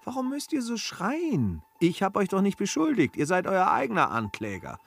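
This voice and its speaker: An actor playing a polite, suspicious gentleman in a radio play, measured and articulate, with insinuating questions. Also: high-pitched, wildly swooping pitch, gravelly, breathy edge, heavily acted. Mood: accusing. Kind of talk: German radio play acting of classic comedy.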